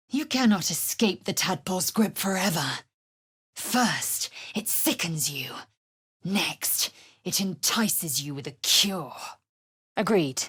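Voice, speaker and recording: Fierce female alien warrior, lowish pitch, husky breathy edge, clipped haughty delivery, video game, dry close sound. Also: no echo, exaggerated voice. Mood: stern, disdainful. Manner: clipped, imperious, deliberate. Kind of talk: scripted video game character lines and narration.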